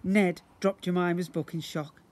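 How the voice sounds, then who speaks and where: A British man in his fifties reads a children's storybook aloud like a teacher, theatrically, with drawn-out emphasis and acted sound words. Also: sits high, fairly even intonation, darkish tone. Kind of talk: expressive children's storybook reading. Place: outdoors beside brick houses. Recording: noisy outdoor amateur video recording.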